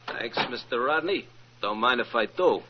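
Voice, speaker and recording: A man's voice: medium pitch, expressive, moderate pace, background noise, natural voice. A man acting a cocky, thuggish hired killer with slangy tough-guy talk in an old-time radio crime drama. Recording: muffled old radio recording.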